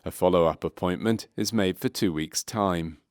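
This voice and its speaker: Male narrator for medical training film: lower register, measured, clinical, scripted. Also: darkish tone, clear, resonant tone, no room sound, studio quality.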